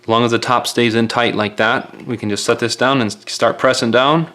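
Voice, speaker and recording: A man's voice: medium pitch, fairly even intonation, moderate pace, fairly bright tone. A man giving casual, step-by-step hands-on repair instructions in a plain-spoken, careful, folksy way. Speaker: repair tutorial presenter.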